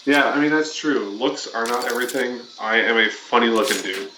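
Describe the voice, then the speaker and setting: A man's voice: medium pitch, moderate pace, music in the background. A young man streaming a game, chatting casually with a crude, teasing humor and laughing at his own jokes. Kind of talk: casual joking chat while gaming. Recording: roomy, distant, noisy home stream.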